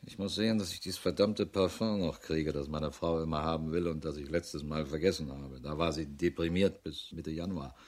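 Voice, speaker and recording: An actor playing a harried, middle-aged police investigator in a radio drama, matter-of-fact and dry. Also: male voice, very deep voice, fairly even intonation, moderate pace, hardly any pauses, a little mellow. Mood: harried, grumbling. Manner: matter-of-fact, dry, clipped official phrasing. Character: harried, world-weary male police investigator with a wife. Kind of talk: German radio drama acting, dictated report.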